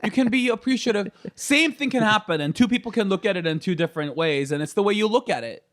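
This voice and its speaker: Male comedian guest, slightly high voice, very animated, blunt and joking in casual anecdotes, dry recording. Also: fast talker, no room sound. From South Korea, moved to the US at nine.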